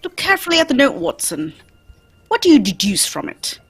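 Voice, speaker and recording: A keen gentleman detective from an old-time radio play, played by a woman. The voice is high and very animated, theatrical and courteous, explaining with brisk confidence. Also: husky, soft background music, full character voice. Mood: keen, instructive.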